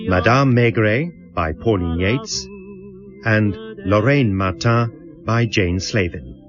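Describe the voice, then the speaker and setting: A man reading scripted closing credits as a radio announcer. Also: lowish voice. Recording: slightly dull radio recording, music behind. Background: music.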